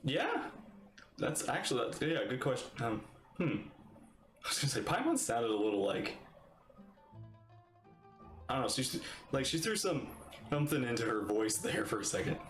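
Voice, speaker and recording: Rambling, excitable streamer guy. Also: mid-range voice, moderate pace, long pauses. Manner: chatty, rambling, excitable, many restarts. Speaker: streamer reacting to a game. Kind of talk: casual livestream commentary. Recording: roomy room sound with game music.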